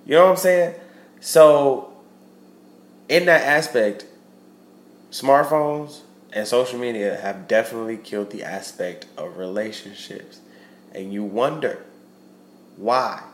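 A man's voice: mid-range voice, slow pace, long pauses. A young man hosting a solo podcast, talking loosely with slang, fillers and restarts, and sounding stuffy from a cold. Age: young adult. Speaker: podcast host. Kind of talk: casual solo podcast monologue. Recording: roomy echo with faint ambient noise.

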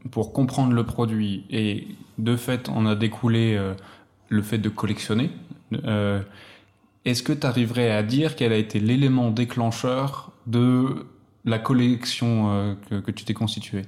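A man hosting a podcast interview, friendly and conversational, often pausing with hesitant fillers. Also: mid-range voice, steady tone, darkish tone.

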